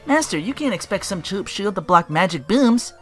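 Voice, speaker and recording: A man's voice: sits high, sing-song, normal speed, voice pushed up. A man voicing an eager female fairy companion, perky and urging, as he reads game dialogue aloud. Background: faint game music.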